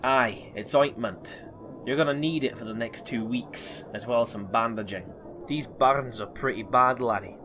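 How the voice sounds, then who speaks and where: A man playing a gruff, foul-mouthed but kindly doctor with a Scottish accent in a comedy fantasy audio drama. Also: mid-range voice, moderate pace, fairly bright tone, a little hiss or hum, close to an everyday voice. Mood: blunt, teasing. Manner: blunt, teasing, scolding.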